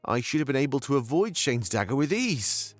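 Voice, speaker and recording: A man's voice: mid-range voice, big pitch swings, brisk. An actor playing a grieving, self-reproaching male warrior in a visual novel, sombre and confiding. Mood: ashamed. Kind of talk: dramatic visual novel acting. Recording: background music under the voice.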